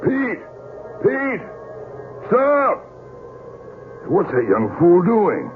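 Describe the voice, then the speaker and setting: A man's voice: high voice, huge pitch swings, drawn-out words, exaggerated voice. An actor playing a blunt, agitated, skeptical old man in a vintage radio drama, exclaiming in disbelief. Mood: alarmed, incredulous. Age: older. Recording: noisy, narrow-band old radio broadcast.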